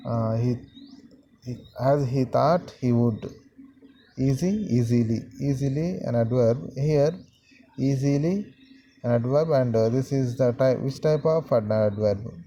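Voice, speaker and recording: A man teaching a grammar lesson to students, repeating sentences and pausing often with fillers. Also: mid-range voice, warm tone, dull audio, slight background noise. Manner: slow, repetitive, halting with many fillers.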